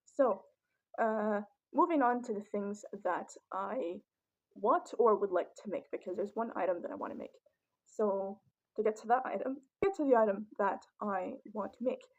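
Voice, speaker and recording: Raspy-voiced young woman, chatty. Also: mid-range voice, normal speed, dull audio. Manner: chatty, rambling, many ums and restarts. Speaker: vlogger. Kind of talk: casual collection show-and-tell.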